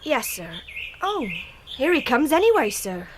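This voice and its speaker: A flustered housemaid in a radio drama. Her high voice bobs up and down, deferential and unpolished, with faint birdsong behind. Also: sing-song, a little slow, noisy background. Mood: dutiful. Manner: deferential, uneducated servant's speech.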